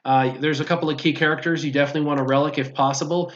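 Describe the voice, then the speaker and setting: A man's voice: a little high, steady tone, very fast, a little hiss or hum. A man chatting casually as a guest on a gaming stream, explaining things step by step as he answers viewers' questions. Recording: dull lo-fi stream audio.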